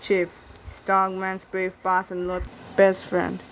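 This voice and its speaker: A woman's voice: medium pitch, monotone, slow, slight background noise, rough recording. A woman reading a children's book aloud as narrator, recorded on a handheld device indoors.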